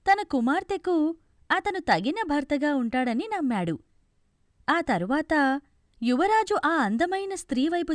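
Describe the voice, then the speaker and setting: High-pitched, lively woman storyteller. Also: no echo, studio quality. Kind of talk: scripted fairy tale narration.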